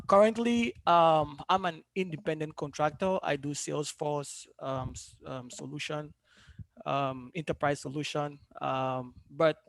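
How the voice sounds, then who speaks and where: A man from Cameroon introducing himself on an alumni panel, speaking in a measured way with frequent pauses. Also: on the high side, creaky, dry recording. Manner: measured, pausing with many ums.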